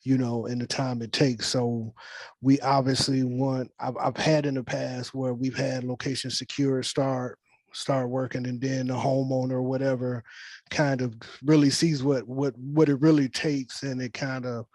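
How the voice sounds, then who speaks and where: A man's voice: mid-range voice, flat delivery, moderate pace, darkish tone, dry recording. A man speaking as a film director in an informal team briefing on a video call, rambling and reassuring. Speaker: film director leading a table read. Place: virtual meeting on a video call.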